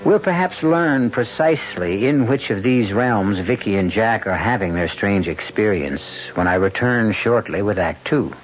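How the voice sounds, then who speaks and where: A man hosting a radio mystery drama, narrating in a measured, ominous, theatrical way as a philosophical guide. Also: fairly low pitch, animated, close to an everyday voice. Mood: ominous, musing. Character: ominous, philosophical male mystery show host. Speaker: host narrator. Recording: dull lo-fi old radio recording. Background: music.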